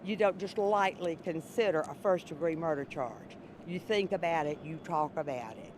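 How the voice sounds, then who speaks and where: A woman serving as a juror, answering interview questions in a loud voice, often restarting and repeating herself. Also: low voice, normal speed, background noise.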